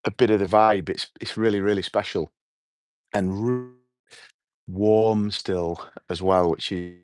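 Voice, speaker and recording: A middle-aged man with a hoarse voice, hosting a relaxed, rambling evening livestream outdoors and chatting with his viewers. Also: fairly low pitch, no room sound. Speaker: livestream host. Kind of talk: casual evening livestream chat. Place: outdoors at night.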